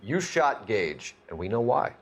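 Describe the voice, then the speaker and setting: An actor playing an earnest, hard-edged young American police detective in a video game, formal and pressing. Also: male voice, on the low side, sing-song, unhurried. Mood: firm, accusing. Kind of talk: video game cutscene acting.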